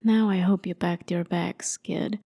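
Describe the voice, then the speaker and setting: A woman playing a haughty, jaded immortal warrior in an ASMR roleplay, softly spoken and often near a whisper, with sardonic, conversational storytelling. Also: lowish voice, steady tone, measured pace. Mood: commanding, impatient. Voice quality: soft, breathy, often dropping to a near whisper. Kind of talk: ASMR roleplay, dramatic monologue.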